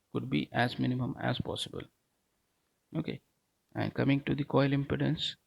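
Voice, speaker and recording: A man's voice: mid-range voice, gravelly, slightly rough, dry recording, rough recording. A man giving a technical lecture in a didactic way, often punctuating his points with short check-in words. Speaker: teacher, lecturer.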